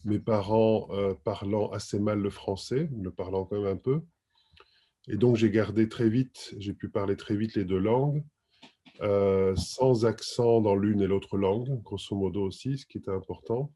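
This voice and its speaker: A middle-aged man answering interview questions thoughtfully, with many pauses and fillers. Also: fairly low pitch, dark tone, breathy edge. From France. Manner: thoughtful, hesitant with frequent fillers. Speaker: guest writer and publisher.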